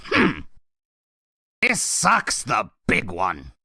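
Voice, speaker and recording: A man voicing a short, fat, ugly demon clown in a comic dub, sarcastic, whiny and sneering with a strained rasp. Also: fairly low pitch, huge pitch swings, drawn-out words, pushed and bright, no echo, clean studio sound, exaggerated voice. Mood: defiant, sneering. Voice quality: raspy, strained. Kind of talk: comic dub villain acting.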